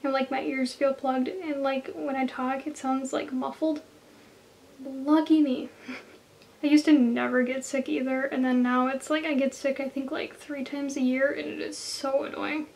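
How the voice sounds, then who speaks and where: Hoarse, high-voiced woman vlogger. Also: level tone, unhurried, a little mellow, slight background noise. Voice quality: nasal. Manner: chatty, talks straight to viewers. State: hoarse from a cold. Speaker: beauty vlogger. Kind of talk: makeup tutorial voice-over.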